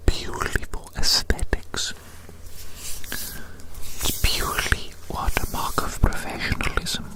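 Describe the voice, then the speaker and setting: Soft whispering ASMR voice, gentle and repetitive. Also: low-quality recording. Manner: gentle, reassuring, repeats phrases slowly. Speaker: ASMR roleplayer measuring the listener.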